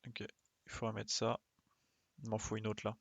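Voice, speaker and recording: A man with a creaky, gravelly voice streams a video game. He thinks aloud casually, muttering to himself and dropping in little playful sound effects. The sound is close and dry, with no room around it. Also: on the low side, monotone, normal speed, fairly bright tone, no echo. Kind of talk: casual live gameplay commentary.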